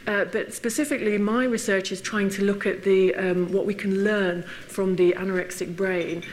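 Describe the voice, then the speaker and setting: An English woman giving a friendly public lecture, laughing often and filling pauses with ums and uhs. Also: mid-range voice, fairly even intonation, a little hiss or hum. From England. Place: lecture hall.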